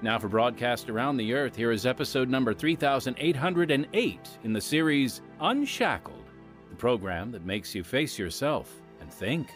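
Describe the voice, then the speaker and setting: A man narrating a scripted radio program with solemn, measured emphasis. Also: mid-range voice, lively intonation, music in the background.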